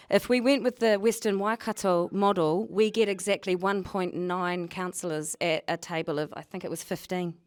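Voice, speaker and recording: A woman's voice: medium pitch, smooth, no room sound, studio quality. A woman speaking emphatically and argumentatively at a public forum, repeating words for stress.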